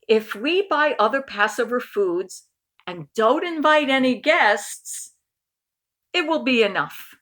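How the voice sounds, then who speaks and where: A woman voicing an agreeable uncle full of ideas in a children's picture book, with emphatic, drawn-out delivery. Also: fairly high pitch, lively intonation, a bit bright, voice pushed up. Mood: agreeable, eager.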